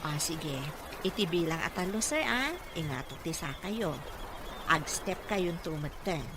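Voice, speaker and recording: A woman's voice: on the low side, lively intonation, measured pace. A woman with a Filipino accent playing a dutiful, caring caregiver in an Ilocano radio drama. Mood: respectful, encouraging. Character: dutiful, caring female caregiver and working mother. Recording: noisy ambient background.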